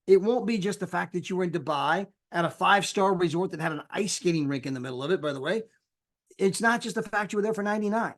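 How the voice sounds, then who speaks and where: A man giving an energetic sales pitch on a live call, casual and folksy, acting out the people he quotes. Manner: animated, acts out quoted people with big pitch jumps. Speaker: sales presenter.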